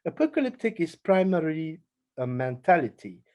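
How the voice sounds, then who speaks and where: A man with a Hungarian accent presenting an academic paper, slow and halting, pausing often on fillers. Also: on the high side, big pitch swings, a little mellow. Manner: slow, halting delivery with frequent filler pauses. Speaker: conference speaker.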